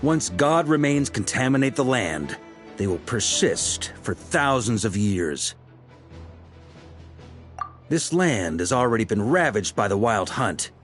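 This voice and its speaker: An actor playing an authoritative knight commander in a video game, measured and confident, speaking formally and deliberately. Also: male voice, medium pitch.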